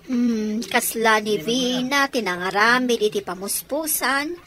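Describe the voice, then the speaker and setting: High-voiced prying Ilocano mother acted by a woman. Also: unhurried, crowd noise, close to an everyday voice. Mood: curious. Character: nosy middle-aged mother, scolding and questioning. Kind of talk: Ilocano radio drama acting.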